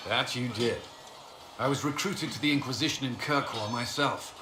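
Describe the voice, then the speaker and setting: With music playing clearly behind and an echo around the voice, an actor plays a military commander in a fantasy game. He has a mid-pitched man's voice and talks in a brisk, earnest, authoritative way. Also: close to an everyday voice. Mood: earnest, easing. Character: stern, plain-spoken male military commander. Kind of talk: video game voice acting. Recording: echoing space, music clearly underneath.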